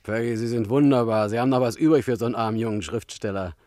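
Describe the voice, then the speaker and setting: An actor playing a young, struggling Hollywood screenwriter in a German radio drama, wry, charming and flattering. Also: male voice, medium pitch, fast talker, close to an everyday voice. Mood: wooing, wry.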